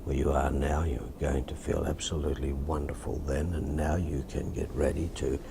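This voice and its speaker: Very deep gravelly Australian man. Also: level tone, background noise. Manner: measured, soothing, suggestive. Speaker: hypnotist. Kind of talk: hypnosis session, softly spoken.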